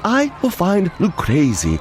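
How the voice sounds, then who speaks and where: An actor playing a cunning, vengeful nobleman in a radio play, theatrical and measured, with falsely deferential politeness. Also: sits high, wildly swooping pitch, full character voice. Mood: coy, falsely reluctant. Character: cunning vengeful nobleman, outwardly fawning, secretly scheming. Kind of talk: dramatic radio play narration and dialogue.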